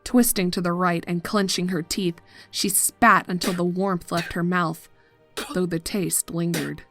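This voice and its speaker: A woman narrating a scripted horror story in a measured, dramatic manner. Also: lowish voice, fairly even intonation, a bit bright, no echo, soft background music.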